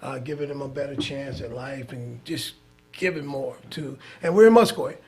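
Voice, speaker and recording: A man's voice: medium pitch, moderate pace, darkish tone, a little hiss or hum. A middle-aged man originally from New York City, speaking as a charter school representative, proud and informative. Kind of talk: casual chat.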